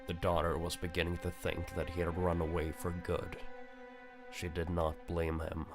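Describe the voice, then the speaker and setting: A man reading a visual novel aloud as narrator, in a grave, deliberate storytelling manner. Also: sits low, completely flat delivery, music in the background.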